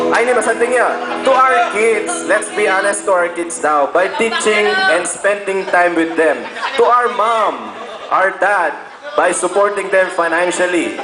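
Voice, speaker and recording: Filipino guy, kinda high voice, reading a letter out loud, goofy and stumbly, roomy echo. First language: Tagalog. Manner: playful, stumbling, breaks into chuckles and side comments. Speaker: reader of a friend's message. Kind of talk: reading a letter aloud with joking asides. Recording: echoey room with loud music behind. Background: loud instrumental music.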